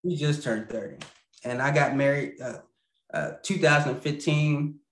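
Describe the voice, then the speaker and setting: A man in his thirties, an assistant pastor, talking reflectively in a casual video-call interview with many pauses. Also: fairly high pitch, reverberant. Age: 30s. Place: small room at home, video call.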